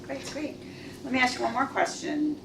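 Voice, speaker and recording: A woman's voice: high-pitched, fairly even intonation, moderate pace. Woman host in an echoey room. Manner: chatty, joking questions. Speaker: club meeting host asking questions. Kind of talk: casual onstage interview. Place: meeting room. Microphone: far camera. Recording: echoey room, far off, background noise.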